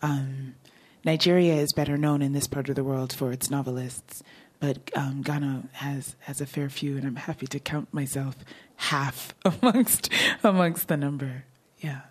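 A woman's voice: very deep voice, steady tone, measured pace, a little mellow, breathy edge. A woman novelist talking casually about her writing and answering audience questions, laughing often. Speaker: novelist at a book talk. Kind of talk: conversational talk and audience Q&A.

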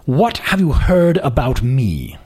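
A man reading the part of a haughty, commanding magazine editor with an aristocratic accent, speaking slowly and clipped. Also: mid-range voice, wildly swooping pitch, a little mellow, husky, exaggerated voice. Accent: upper-class East Coast with British touch.

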